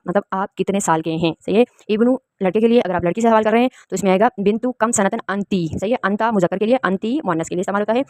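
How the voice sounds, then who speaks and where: A woman's voice: mid-range voice, no room sound. A woman from Pakistan, teaching an Arabic lesson and patiently explaining each word. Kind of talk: spoken language lesson.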